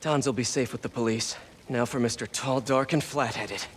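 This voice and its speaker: A man's voice: medium pitch, moderate pace, a little hiss or hum, close to an everyday voice. An actor playing a young, wisecracking male superhero and earnest mentor, in glib conversational video game voice acting. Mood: wry. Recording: loud music behind the voice.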